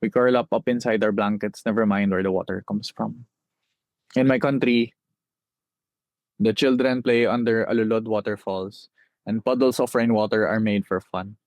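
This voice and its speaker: A young Filipino man with a Filipino accent, a spoken-word poet reciting his poems from memory with rhythmic phrasing and dramatic pauses. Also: on the low side, fairly even intonation, darkish tone, no echo. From Philippines.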